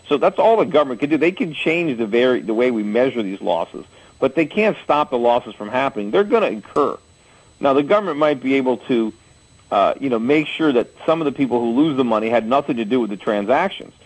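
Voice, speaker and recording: A husky-voiced man in his forties hosts a call-in radio show. He talks at an everyday pitch and lays out his views through plain, homely comparisons. He often trips over his own words and restarts. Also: poor audio. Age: 40s. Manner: explains with homely analogies, frequent restarts.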